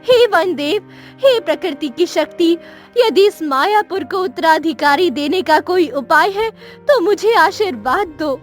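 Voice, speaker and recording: Woman acting a high-pitched, sorrowful childless queen. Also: expressive, a little slow, soft background music. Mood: prayerful. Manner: plaintive, earnest, formal diction. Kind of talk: melodramatic Hindi cartoon story acting.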